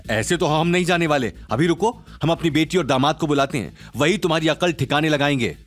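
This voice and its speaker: A man's voice: a little high, brisk, a bit bright, soft background music, pitched above the performer's own voice, lightly acted. A man voicing arrogant, indignant middle-aged women in an audio drama, in a haughty, accusing, whining manner.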